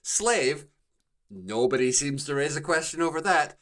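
A man hosting a podcast, casually discussing a TV show in wordy, halting phrases full of repeated words. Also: on the high side, lively intonation, fairly bright tone. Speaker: podcast host.

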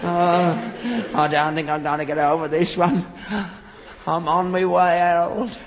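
A man's voice: on the high side, level tone, measured pace. An actor playing a wheedling, self-pitying old rag-and-bone man with a working-class London accent, whining and pleading melodramatically in a sitcom. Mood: self-pitying, melodramatic. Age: older. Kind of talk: scripted sitcom acting. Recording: echoey hall, noisy archive recording.

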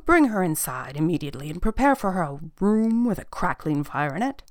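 A woman voicing a mild, bookish king in a fairy tale, measured and formal. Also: fairly low pitch, big pitch swings, no room sound, clean studio sound. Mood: skeptical but kindly.

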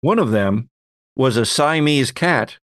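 A man narrates a tabletop horror game as its game master. He speaks at an unhurried pace in a medium-pitched voice, recorded dry and close. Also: animated, a bit bright, no echo.

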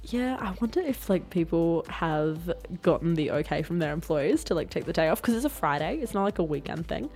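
A woman's voice: on the low side, expressive, a little fast, few pauses, music in the background. A young woman co-hosting a podcast, chatty and full of "like". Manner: chatty, uses "like" a lot. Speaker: podcast co-host. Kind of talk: casual podcast chat and street reporting.

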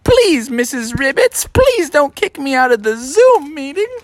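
A storyteller voicing a young male frog pupil, excitable, mischievous and given to whiny, exclaimed pleas. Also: sits high, measured pace, voice pushed up. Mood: pleading.

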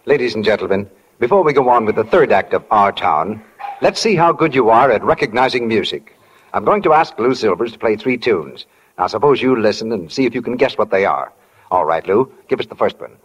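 A man working as a radio announcer, delivering polished 1940s-style introductions and sponsor pitches. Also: lively intonation, fairly quick, a little hiss or hum. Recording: 1940 radio broadcast, reverberant hall.

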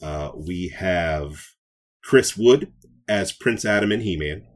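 A man's voice: low-pitched, animated, slow pace. A man co-hosting a podcast, talking casually and enthusiastically about nerd news with playful joking. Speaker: podcast co-host.

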